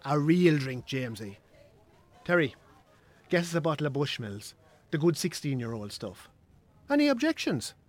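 An actor with an Irish accent playing a smug poker ringleader in a radio drama, mock-friendly and needling. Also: male voice, a little high, sing-song, normal speed, pauses often. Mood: pushy, genial. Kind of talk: naturalistic radio drama acting.

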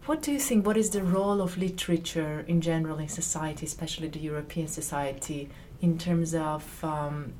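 A woman interviewer asking careful, measured questions. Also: fairly low pitch, a little mellow, slight background noise.

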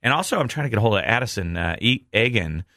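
A man hosts a live radio morning show in a bright, animated voice. His delivery is casual and jokey, often teasing the people he talks to. The sound is dry and close. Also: fairly low pitch, fast, no room sound. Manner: jokey, teasing, breaks into playful singing. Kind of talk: casual live banter with callers, joking.

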